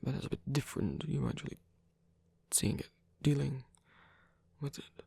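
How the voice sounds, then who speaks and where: ASMR roleplay villain, acted: guarded, flirtatious, hurting but tender. Soft, hesitant murmur with crackly fry, very close and dry. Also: medium pitch, big pitch swings, moderate pace, no echo, exaggerated voice. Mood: pained, guarded. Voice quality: soft, breathy, gravelly. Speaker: audio roleplay performer. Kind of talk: intimate ASMR roleplay acting.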